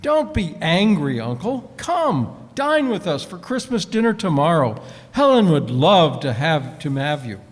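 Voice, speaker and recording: Ringing through a large hall, a man acts a good-natured young nephew in a vintage radio drama. The voice is high for a man and swings widely, earnest and buoyant. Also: very animated, measured pace. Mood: warm, friendly. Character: cheerful, kind-hearted younger nephew of a miser. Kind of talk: 1940s radio play acting. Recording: live performance in a reverberant hall.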